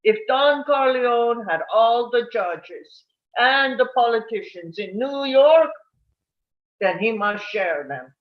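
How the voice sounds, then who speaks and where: A man playing a smooth-talking mafia don in a live script read, measured and deliberate. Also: extremely high pitch, lively intonation, reverberant, lo-fi sound, full character voice. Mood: pressing.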